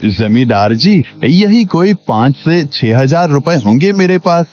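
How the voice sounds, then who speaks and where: A man's voice: a little high, lively intonation, a little slow, a little mellow. A man playing an honest, humble village servant in a cartoon moral story, polite, earnest and deferential. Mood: humble, trusting. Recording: dull lo-fi audio with background music.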